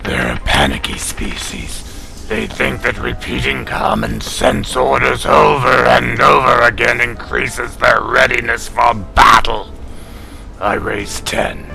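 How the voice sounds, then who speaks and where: A gravelly, growling alien cat warrior in a sci-fi audio drama. He speaks slowly and forcefully, full of haughty scorn, low and hard-edged. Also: faint music behind, exaggerated voice, rough recording. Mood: scornful. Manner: haughty, taunting, slow and forceful. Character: gruff, scornful male catlike alien warrior.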